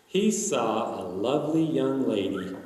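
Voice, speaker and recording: A man's voice: medium pitch, expressive, unhurried, a little mellow, slight background noise. A man giving a dramatic reading of a family history story as a storyteller. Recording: echoey hall, distant microphone.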